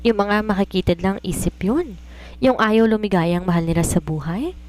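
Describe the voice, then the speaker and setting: A woman's voice: mid-range voice, normal speed, a little mellow, lightly acted. A woman voicing an independent, teasing young daughter who writes romances, playful and reasoning in mixed Tagalog and English. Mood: playful, persuading.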